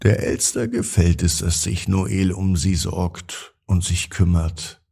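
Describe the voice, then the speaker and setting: A man narrating a scripted bedtime story for children in a gentle, drawn-out, expressive way. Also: deep voice, gravelly, slightly rough.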